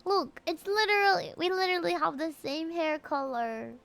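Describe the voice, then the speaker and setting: A woman livestreaming a game, reacting in a gushing, expressive way. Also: very high voice, moderate pace, vocal fry. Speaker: game streamer.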